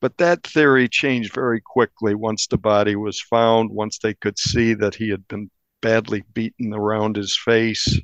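A man, a newspaper reporter, recounting a crime case in an interview with measured, deliberate storytelling. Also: lowish voice, fairly even intonation, no echo, highs cut off.

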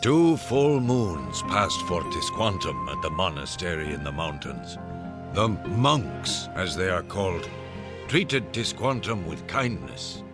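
Solemn, slow, deep storyteller. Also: male voice, very animated, heavily acted. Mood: solemn, reflective. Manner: solemn, measured. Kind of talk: scripted radio drama narration. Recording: music behind the voice.

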